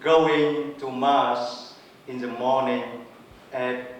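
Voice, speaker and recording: A male priest with a Vietnamese accent talks at a slow, unhurried pace, with a touch of humor. His voice is mid-pitched. He is heard from a distance in a strongly echoing hall with faint noise behind. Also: level tone. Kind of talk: personal testimony talk. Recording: echoey hall, mic far off.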